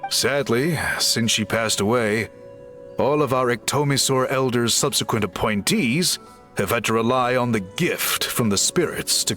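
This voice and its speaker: An actor playing a courteous, encouraging craft mentor in a video game, speaking in formal, measured phrases. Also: male voice, medium pitch, fairly bright tone.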